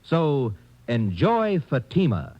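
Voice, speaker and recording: A man's voice: mid-range voice, huge pitch swings, slow pace, a little mellow, dry recording. A man delivering an old radio commercial as an announcer, emphatic and salesmanlike. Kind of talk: 1950s radio advertising pitch. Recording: dull, dry old radio recording.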